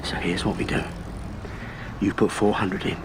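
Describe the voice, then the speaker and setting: A man's voice: sits low, flat delivery, moderate pace, noisy background, natural voice. An actor playing an anxious, scheming bank clerk and family man in a period audio drama, speaking formally and self-importantly. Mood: furtive, persuasive. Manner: formal, old-fashioned, self-important phrasing.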